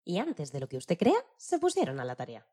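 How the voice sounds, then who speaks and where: A woman with a husky voice narrating a rhyming children's tale in a playful, declamatory, theatrical way. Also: on the low side, huge pitch swings, brisk, dark tone. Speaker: storyteller.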